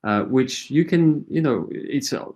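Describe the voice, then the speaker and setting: A man's voice: medium pitch, animated, unhurried, darkish tone. A middle-aged man with a Portuguese accent, a native Portuguese speaker, answering interview questions thoughtfully with many fillers and restarts. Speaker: associate professor, guest.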